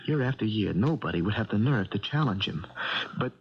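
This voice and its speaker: An actor playing a troubled, earnest prizefighter haunted by a dream, halting and intense in a 1970s radio drama. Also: male voice, on the low side, expressive, husky. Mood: earnest recounting. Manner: halting, repeating words, intense, colloquial. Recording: dull vintage radio recording.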